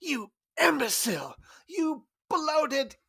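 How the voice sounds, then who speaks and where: An actor playing a fussy, slippery criminal accomplice in a radio play, precise, formal and prickly, with a husky, creaky voice. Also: male voice, extremely high pitch, big pitch swings, slow pace, a bit bright, heavily acted. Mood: outraged. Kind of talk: 1940s-style radio play acting.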